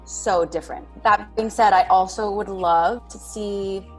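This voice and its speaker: A woman's voice: mid-range voice, steady tone, measured pace, faint music behind, rough recording. A woman talking casually as a guest on a panel, telling anecdotes in a conversational way and quoting dialogue as she goes. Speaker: talk-show guest, stage actress.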